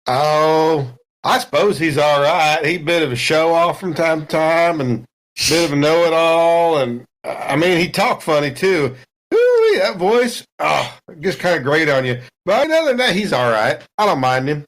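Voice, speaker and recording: A man's voice: fairly high pitch, big pitch swings, moderate pace, fairly bright tone. A man voicing a folksy, overconfident spaceship crewman who rambles along with homespun sayings in a tabletop game. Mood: uneasy, grumbling. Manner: drawling, rustic, rambling small talk with homespun sayings. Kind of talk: improvised in-character dialogue in a tabletop game.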